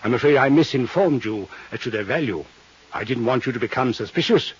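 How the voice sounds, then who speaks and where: Old radio villain: aged, genteel, greedy, verbose, theatrical; slightly rough tone, muffled fifties broadcast. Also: male voice, medium pitch, animated, brisk. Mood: smugly courtly. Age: older. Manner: florid, theatrical, courtly phrasing with Latin flourishes. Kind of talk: 1950s radio drama acting. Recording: muffled 1950s radio recording.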